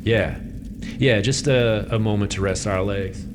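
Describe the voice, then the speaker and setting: An actor playing a young war chief in a fantasy audio drama, wary and tactful, with hesitant, folksy politeness. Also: male voice, on the low side, a little slow, background noise, close to an everyday voice. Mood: weary, polite.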